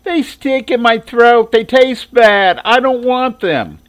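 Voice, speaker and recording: A man voicing a sulky, stubborn young girl who whines slowly and refuses everything. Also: sits high, sing-song, voice pushed up, exaggerated voice. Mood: sulky, whiny. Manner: whiny, drawn-out.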